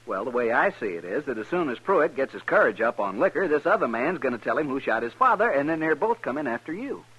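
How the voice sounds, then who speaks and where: Fussy, husky small-town townsman. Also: medium pitch, very animated, quick pace, fairly bright tone, muffled recording. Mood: anxious. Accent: American. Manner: polite, hesitant, wordy. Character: fussy, apologetic small-town printer, married man. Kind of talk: 1950s radio western acting.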